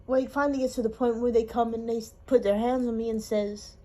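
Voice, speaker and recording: Woman, somewhat high voice, interviewee, personal testimony, pausing, emotional. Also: fairly even intonation, warm tone. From Honolulu, Hawaii. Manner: halting, emotional storytelling with pauses.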